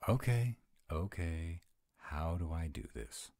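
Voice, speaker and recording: Man acting a very deep, grumpy were-dragon. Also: sing-song, slow, creaky, no room sound, clean studio sound, full character voice. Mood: reluctant, exasperated. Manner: grumbling, exasperated, softening into boyish eagerness. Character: grumpy tsundere male were-dragon, gruff but secretly soft. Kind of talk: audio roleplay acting, addressed to the listener.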